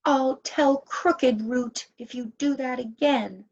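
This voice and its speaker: A woman voicing an excitable, curious little girl elf in a children's storybook, earnest and exclaiming. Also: sits high, takes it slowly, gravelly, dull audio, pitched above the performer's own voice, heavily acted. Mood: cross.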